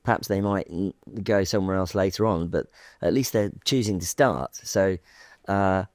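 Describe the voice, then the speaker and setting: A man's voice: deep voice, normal speed, no echo, studio quality. A man moderating a conference panel, asking questions in a hesitant way with many "um" and "you know". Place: conference stage.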